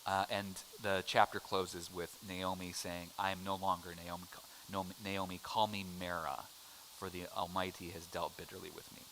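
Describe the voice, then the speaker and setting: A man's voice: low voice, little pitch movement, moderate pace, a bit bright, slight background noise, poor audio. A middle-aged American man teaching a casual adult Bible class, chatty and joking as he quizzes the room. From United States. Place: church classroom.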